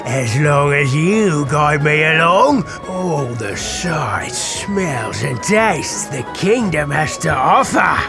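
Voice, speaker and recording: A swaggering villainous mercenary from a fantasy audio drama. The voice is high and creaky, with theatrical, boastful delivery over music. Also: male voice, animated, slow, few pauses, full character voice. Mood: boastful, sly. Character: menacing boastful brotherhood sergeant and mercenary, male villain.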